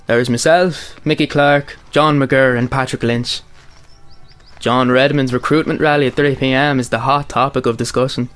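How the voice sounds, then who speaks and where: An actor with a Northern Irish accent playing a wry, matter-of-fact old friend in a radio play. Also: male voice, medium pitch, fairly even intonation, moderate pace, fairly bright tone, clear, resonant tone, soft background music, natural voice. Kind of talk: radio drama dialogue and monologue.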